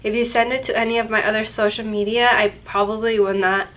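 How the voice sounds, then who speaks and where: Chatty nasal woman, slightly high. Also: little pitch movement, quick pace, fairly bright tone. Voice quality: nasal, congested from a cold. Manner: chatty, rambling with self-corrections. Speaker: paranormal channel host. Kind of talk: casual chat to camera. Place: office at her workplace, indoors. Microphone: camera mic, no microphone.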